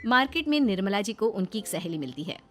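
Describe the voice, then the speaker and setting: A woman's voice: medium pitch, big pitch swings, fast talker, fairly bright tone, soft background music. A woman narrating a scripted moral story.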